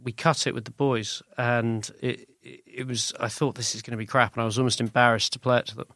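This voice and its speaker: Man, British accent, creaky voice, dry close sound, rambling informal interview chat. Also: mid-range voice, level tone, quick pace, sharp tone, dry recording. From Britain. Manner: rambling, self-correcting, informal. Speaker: musician guest, singer-songwriter.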